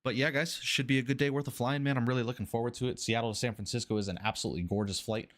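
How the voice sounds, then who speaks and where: A man's voice: medium pitch, steady tone, racing, fairly bright tone. A man live-streaming a flight sim on a headset mic, slightly nasal and congested from a mild flu, upbeat as he greets chat and runs through cockpit setup. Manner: upbeat, reads out chat names and cockpit steps. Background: background music.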